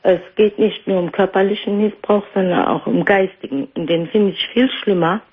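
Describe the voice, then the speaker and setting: A woman's voice: mid-range voice, fairly even intonation, moderate pace, husky. A woman in her forties calling into a radio show, speaking hesitantly. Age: 40s. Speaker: caller. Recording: phone line on radio.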